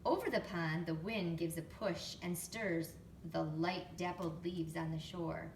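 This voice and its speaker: Roomy, low-voiced woman reading a storybook aloud. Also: measured pace. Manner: expressive, explanatory, prompts young listeners with questions. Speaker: storytime reader, teacher to young children. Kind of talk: picture book read-aloud with chatty asides. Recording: roomy, distant mic, faint background noise.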